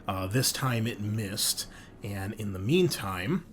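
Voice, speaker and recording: A man's voice: lowish voice, expressive, takes it slowly, fairly bright tone. A man hosting as game master, recapping a tabletop session in an unscripted, matter-of-fact, explanatory way.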